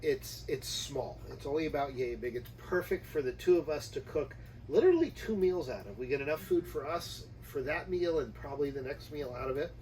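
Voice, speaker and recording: A man with a fairly high-pitched voice chats in a playful, unscripted way as a travel vlog co-host. He sits a little away from the microphone, with faint noise around him. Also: expressive, a little fast, a little mellow. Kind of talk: casual unscripted chat with partner. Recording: distant mic, noisy ambient background.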